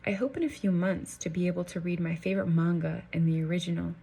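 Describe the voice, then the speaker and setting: A woman narrating a novel in the first person, with a measured, dry and deliberate delivery. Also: fairly low pitch, creaky, dark tone, breathy edge. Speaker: audiobook narrator.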